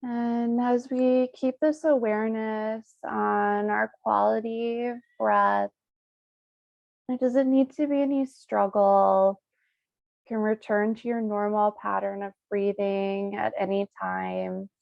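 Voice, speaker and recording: A woman leading a guided meditation as a Reiki practitioner, speaking soothingly in short, unhurried phrases with pauses. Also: medium pitch, steady tone, vocal fry, dry recording, muffled recording.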